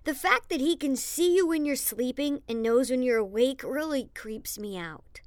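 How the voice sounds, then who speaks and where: Wry schoolboy diarist, played by a woman: high, animated, anxious, confiding complaints. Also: normal speed, no room sound, clean studio sound. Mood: wry, uneasy. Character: wry, anxious schoolkid boy telling his diary. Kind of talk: first-person audiobook narration.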